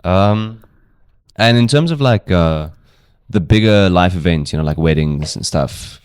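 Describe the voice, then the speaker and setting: A man from South Africa hosts a podcast in a casual, encouraging way. His voice is very low. The recording is dry, with no room sound. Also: expressive, unhurried, darkish tone, clean tone, dry recording. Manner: easygoing, encouraging, lots of agreeing murmurs. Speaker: podcast host.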